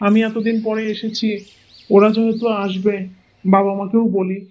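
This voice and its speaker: A doting, easygoing husband acted by a man from a cartoon, with a high voice. He talks in an affectionate, teasing way, and the recording is muffled with audible noise. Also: little pitch movement, normal speed, natural voice. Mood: eager, agreeable. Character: doting, easygoing village husband, indulgent toward his wife. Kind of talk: cartoon moral-story voice acting. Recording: dull lo-fi sound with clear noise.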